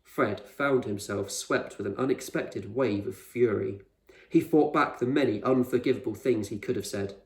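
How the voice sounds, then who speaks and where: A man's voice: fairly low pitch, moderate pace. A man reading a children's novel aloud as scripted narration, like a teacher to a class. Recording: roomy echo, distant microphone.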